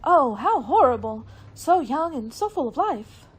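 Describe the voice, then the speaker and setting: A woman's voice: sits high, very animated, measured pace. A woman playing an eager, concerned secretary in a radio mystery play, with an earnest, emotive manner. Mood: shocked, earnest. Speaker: actor in an amateur radio play. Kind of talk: radio mystery drama acting.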